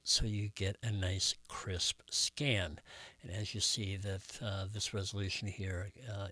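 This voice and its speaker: A man narrates a casual tutorial in a slightly low, bright voice. He explains each step in a measured way, taking his time and pausing often. The sound is close and dry. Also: no echo. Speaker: host of a record collecting tutorial channel.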